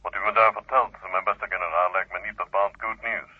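An actor in a 1970 Dutch radio play, playing a stern, formal Soviet marshal heard over a telephone. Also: male voice, on the low side, steady tone, a little fast. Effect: telephone line filter. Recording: tinny, echoing telephone line.

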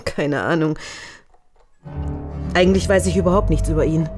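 A woman's voice: low-pitched, normal speed, over music, close to an everyday voice. An actress playing a reserved woman answering a detective in short phrases in a German radio crime drama.

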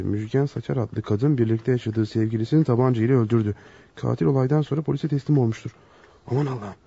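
A man's voice: mid-range voice, vocal fry, dark tone, slightly rough. An actor playing an anxious, smitten male doctor in a melodramatic radio play, fretful and dramatic in delivery. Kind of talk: melodramatic radio theatre acting. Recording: dull lo-fi radio drama recording.

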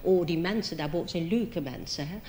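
Cheerfully provincial Limburg housewife acted by a woman, gossipy and exuberant, lowish pitch, live cabaret with noisy background. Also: a little fast, warm tone, close to an everyday voice. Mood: bubbly, amused. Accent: southern Dutch, Limburg. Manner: chatty, giggly, exuberant. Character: bubbly, gossipy Maastricht mother, cheerfully provincial. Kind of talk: stage cabaret monologue. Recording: noisy live theatre recording.

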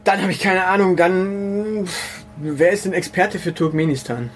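A man with a somewhat high voice co-hosts a casual comedy podcast. He rambles through deadpan jokes, stumbling over fillers and restarting his sentences. A faint hiss of background noise sits under the recording. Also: a little slow. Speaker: podcast co-host.

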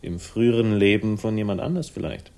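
An actor playing a cool, sardonic man with quiet menace in a dry, laconic 1960s German radio crime drama style. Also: lowish voice, animated, a little fast, gravelly. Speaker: first-person narrator and lead character.

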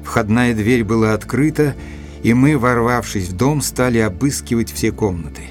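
An actor playing a gentlemanly detective's companion who recounts the case in a measured, literary audio-drama narration. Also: male voice, medium pitch, lightly acted. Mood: tense storytelling. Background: music.

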